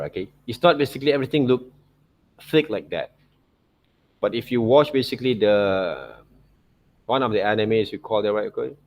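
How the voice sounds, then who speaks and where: A man narrating an informal art software tutorial as a teacher, in loosely phrased non-native English, often checking in with short tag words. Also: lowish voice. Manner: repeats check words like okay and right.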